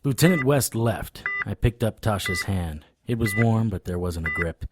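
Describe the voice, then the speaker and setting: An actor playing a weary, lovestruck occult investigator who narrates his own case in a wry, measured way for an audio drama. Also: on the low side, lively intonation, heavy background noise, lightly acted. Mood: tender, wry. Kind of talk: first-person noir audio drama narration and dialogue. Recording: music under the voice.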